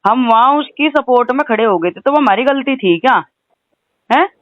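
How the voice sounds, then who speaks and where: A woman's voice: sits high, expressive, moderate pace, slight background noise. A woman with a western Uttar Pradesh accent talks on a recorded phone call in an insistent, aggrieved way, often adding questioning tags. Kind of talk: heated phone conversation.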